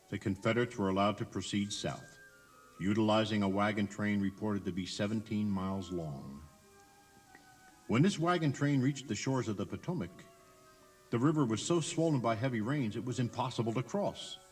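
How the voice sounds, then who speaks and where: Guy narrating a tour tape, really animated and theatrical, bit of music behind him, 80s cassette. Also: on the low side, normal speed, slightly rough. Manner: theatrical, emphatic storytelling with rhetorical questions. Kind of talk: dramatized scripted narration.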